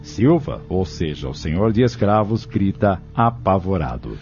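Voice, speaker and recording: Low mellow guy narrating an audio novel, bit muffled, soft music underneath. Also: very animated, unhurried, breathy edge. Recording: dull, lo-fi recording with music.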